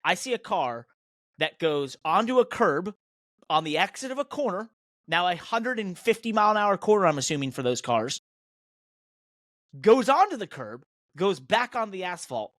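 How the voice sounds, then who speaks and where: A man's voice: sits high, sing-song, normal speed, fairly bright tone, no room sound, clean studio sound. An American man hosting a motorsport podcast, talking in an emphatic, indignant and opinionated way. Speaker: podcast host and professional race car driver.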